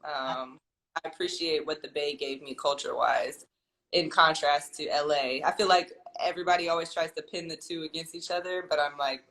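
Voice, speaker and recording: A woman from California is a guest in a relaxed interview. Her voice is bright, and she speaks thoughtfully. She rambles a little and gathers her thoughts as she goes. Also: low voice, normal speed, poor audio. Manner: thoughtful, rambling, many ums and restarts. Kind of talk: casual interview chat.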